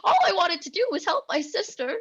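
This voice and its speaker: A woman's voice: very high voice, animated, fairly quick, fairly bright tone, lo-fi sound, full character voice. A woman playing a cornered cartoon villain, protesting and self-justifying in a melodramatic way. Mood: tearful pleading.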